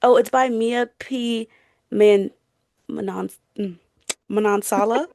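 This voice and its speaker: A woman's voice: fairly high pitch, fairly even intonation, takes it slowly, vocal fry, a little mellow, dry recording, clean studio sound. A young woman in a casual book chat as a podcast co-host, gushing, slangy and playful.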